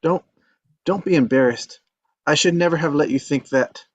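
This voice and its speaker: An actor playing a gentle, devoted married man, sincere and apologetic but firm, in a table read. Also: on the high side, moderate pace, no echo, natural voice. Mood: tender, apologetic.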